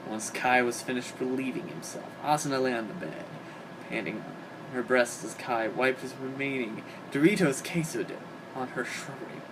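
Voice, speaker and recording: A man giving a dramatic reading of comic fan fiction. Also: medium pitch. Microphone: home microphone on an internet call. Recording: hobby voice-call recording, clear background noise.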